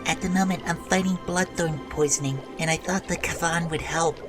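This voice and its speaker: A woman playing a brisk, determined adventurer in a fantasy audio drama, speaking in a casual, matter-of-fact way. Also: lowish voice, fairly even intonation, close to an everyday voice. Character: brisk, determined adventurer buying potion ingredients. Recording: music clearly behind the voice.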